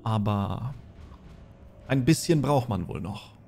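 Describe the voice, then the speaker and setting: A man acting as game master, narrating a tabletop fantasy horror game in a deliberate, descriptive way. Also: fairly low pitch, lively intonation, creaky, darkish tone. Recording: music under the voice. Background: background music.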